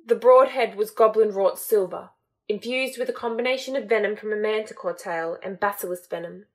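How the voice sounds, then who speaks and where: A woman's voice: medium pitch, brisk, natural voice. A woman voicing a formal, strained male servant reporting to his master in an audiobook reading.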